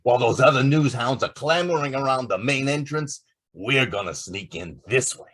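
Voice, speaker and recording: A man playing a determined, wisecracking newspaper reporter with a husky voice in an old time radio drama. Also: mid-range voice, normal speed, fairly bright tone. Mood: confident, scheming.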